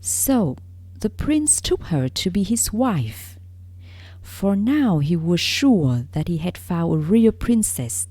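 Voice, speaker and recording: A woman reading a fairy tale aloud as a read-along for learners, in careful, deliberate phrases with frequent pauses. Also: mid-range voice, warm tone, dry recording. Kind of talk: scripted read-along storybook narration.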